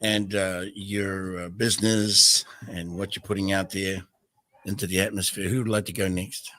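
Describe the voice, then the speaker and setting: A man hosting a casual interview, speaking in a halting, gushing way with affectionate words for his audience. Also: sits low, level tone, sharp tone, no room sound. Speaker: host.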